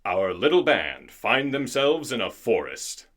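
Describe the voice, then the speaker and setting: A man's voice: mid-range voice, big pitch swings, a little slow, a bit bright, faint music behind. A man playing a theatrical, put-upon narrator in a scripted radio drama.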